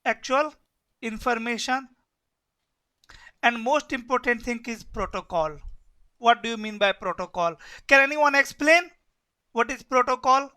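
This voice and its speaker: Bright-voiced man teaching networking. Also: very high-pitched, expressive, unhurried, long pauses, clear, resonant tone. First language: Hindi. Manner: repetitive, keeps asking "yes or no?". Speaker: networking instructor. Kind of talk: live online class lecture.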